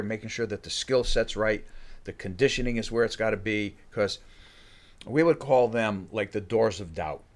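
An older man with a low-pitched voice talks about coaching fighters in a halting, searching way. He restarts his sentences again and again before he lands on a point. He is recorded close on a studio microphone. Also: fairly bright tone. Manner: halting, many restarts, acts out fighters' thoughts. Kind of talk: casual interview talk. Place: studio room with bookshelves. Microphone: studio mic on a boom arm.

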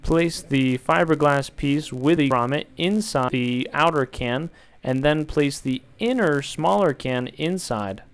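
A young man calmly walking viewers through a build, step by step, in an instructional voice-over. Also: a little high, measured pace, hardly any pauses, clean tone. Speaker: DIY tutorial maker.

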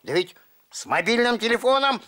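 A woman voicing an excitable, dreamy, boastful young boy kitten in a children's puppet show, with a naive, expressive manner. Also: on the high side, a little fast. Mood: excited, boastful.

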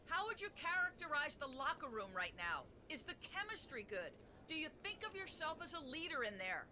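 A woman's voice: high-pitched, sing-song, a little fast, bright, forceful tone, slight background noise, full character voice. A woman playing an inquisitive sports reporter in a video game, asking scripted press conference questions.

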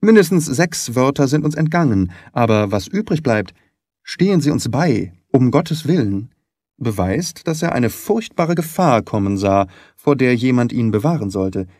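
A man's voice: mid-range voice, big pitch swings, moderate pace, darkish tone, no echo, studio quality. A man voicing a shrewd, self-assured detective who speaks precisely and courteously in an audiobook. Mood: analytical, self-assured. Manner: precise, probing, didactic. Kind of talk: audiobook character dialogue.

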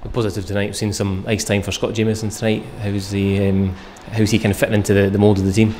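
A man interviews a coach for a club TV channel. He asks his questions very quickly, in a flat, level tone with a slightly low voice. A faint background noise sits under him. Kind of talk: post-match interview questions.

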